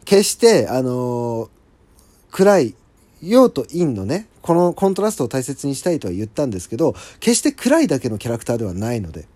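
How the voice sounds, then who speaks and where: A man hosting a casual solo talk show, speaking in an earnest, enthusiastic, conversational way with emphatic pauses. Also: mid-range voice, very animated, dark tone, no room sound. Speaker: solo audio show host and amateur scriptwriter. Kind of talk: casual solo talk about own creative project.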